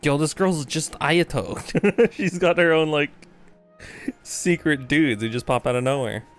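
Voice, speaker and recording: A man's voice: a little high, unhurried. A man giving casual live gameplay commentary, slangy, sweary and rambling. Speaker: game streamer. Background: game music.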